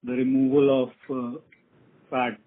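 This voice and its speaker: Male analyst on conference call, rough husky voice, mid pitch, phone quality. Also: monotone, a little slow. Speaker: analyst caller. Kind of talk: asking questions on an earnings call. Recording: muffled narrow phone line.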